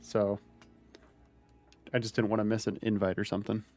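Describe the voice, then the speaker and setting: A man's voice: lowish voice, flat delivery, moderate pace, a little mellow, soft background music. A man streaming a game, chatting casually with friends, joking and laughing often. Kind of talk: casual gaming chat.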